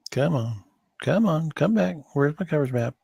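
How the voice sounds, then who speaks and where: A man giving an informal screen-share tutorial as an instructor, thinking aloud in a halting way and correcting himself as he goes. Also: a little high, lively intonation, creaky, darkish tone, breathy edge, dry recording, studio quality. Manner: halting, hesitant delivery with self-corrections. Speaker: course instructor in church sound.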